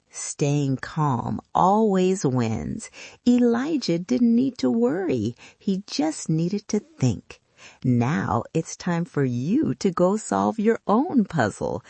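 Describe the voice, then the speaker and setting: An older woman speaks slowly to small children at bedtime, with a creaky edge to her voice. She is soothing and addresses the little listeners directly, with lively rises and falls in a dry, close-sounding recording. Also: on the low side, no echo. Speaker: host and storyteller. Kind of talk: bedtime story introduction for young children.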